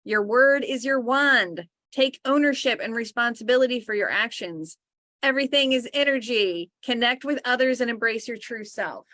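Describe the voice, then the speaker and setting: A woman co-hosting a spiritual livestream, chatting warmly about books, gushing and laughing often and easily sidetracked. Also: fairly high pitch, moderate pace, no echo. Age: adult sister of co-host. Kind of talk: casual chat, reviewing books.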